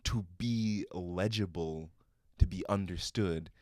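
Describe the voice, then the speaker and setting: A young man with a very low, deep voice and crisp, deliberate enunciation. He speaks in measured, proper sentences in a relaxed podcast conversation. The sound is close and dry, with no room echo. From Minnesota, USA. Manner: formal, carefully enunciated, measured phrasing. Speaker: podcast guest, musician and producer. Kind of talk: casual podcast chat.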